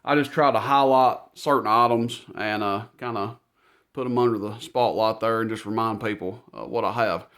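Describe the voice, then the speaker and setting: A man's voice: on the low side, steady tone, moderate pace, fairly bright tone. A man talking casually through shop inventory as its owner, in a plainspoken, informal, folksy way. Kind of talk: casual product rundown.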